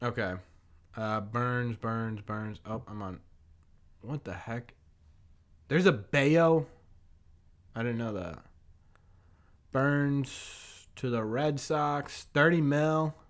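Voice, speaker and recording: A hoarse young man with a New England accent, hosting a casual live-stream sports chat, rambling and thinking aloud as he answers viewers. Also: medium pitch, slow pace, long pauses. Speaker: sports podcast host.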